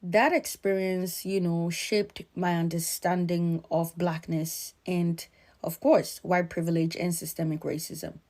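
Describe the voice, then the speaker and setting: A middle-aged woman from Ghana giving earnest, measured, emphatic spoken commentary. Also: lowish voice, flat delivery, darkish tone.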